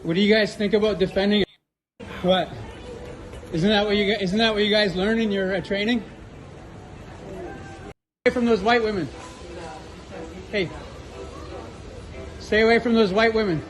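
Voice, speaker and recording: A man's voice: sits high, steady tone, moderate pace, pauses often, low-quality recording. A man in a viral street clip heckling strangers with crude, repetitive taunts.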